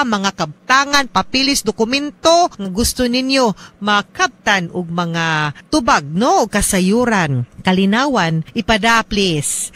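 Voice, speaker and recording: A woman's voice: a little high, pushed and bright. A woman with a Cebuano Filipino accent hosting a radio advice show, chatting informally and putting questions straight to listeners. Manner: informal, addresses listeners directly with check-in questions. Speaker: radio host and lawyer giving advice.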